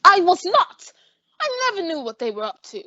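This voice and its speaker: A woman's voice: very high voice, very animated, normal speed, pushed and bright, exaggerated voice. A girl playing a defensive young man. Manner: earnest, defensive. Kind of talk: student radio drama. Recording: dull lo-fi recording.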